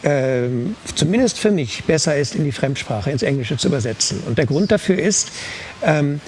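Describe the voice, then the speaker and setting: A man with a slightly high voice talks through his thoughts in an unscripted discussion. He is reflective and hesitant, often correcting himself. Faint noise sits behind his voice. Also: lively intonation, normal speed. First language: German. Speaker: volunteer interpreter on a discussion panel. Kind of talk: unscripted explanatory talk.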